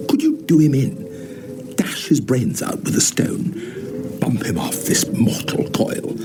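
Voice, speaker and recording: Loud background noise surrounds a pompous middle-aged expedition leader. He declaims with grand, tragic theatricality and widely swinging pitch, as in a radio comedy. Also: huge pitch swings, takes it slowly, full character voice. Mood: conspiratorial. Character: pompous, melodramatic middle-aged man, self-styled doomed expedition leader. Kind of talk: radio comedy drama acting.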